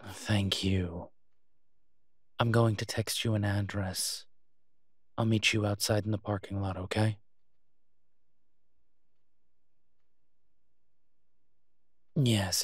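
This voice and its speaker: A man playing a proud, flirtatious incubus demon professor in an intimate audio roleplay, husky and teasing, with hesitant, drawn-out pauses. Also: on the low side, little pitch movement, no room sound, studio quality, natural voice. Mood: businesslike, hushed.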